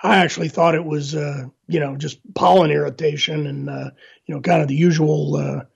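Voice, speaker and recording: A middle-aged man, hoarse after illness, answering interview questions in a halting way with many fillers and restarts. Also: a little high, steady tone. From Northern Virginia, USA. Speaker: guest. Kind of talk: casual interview talk. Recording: dull lo-fi line.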